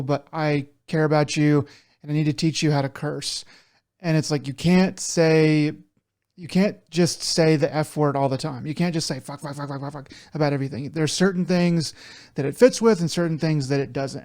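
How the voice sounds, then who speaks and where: A man with a moderately high-pitched voice offers casual commentary as a co-host. He speaks conversationally and haltingly, often repeating a word before carrying on. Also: darkish tone. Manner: halting, stammered repetitions of words. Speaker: podcast co-host.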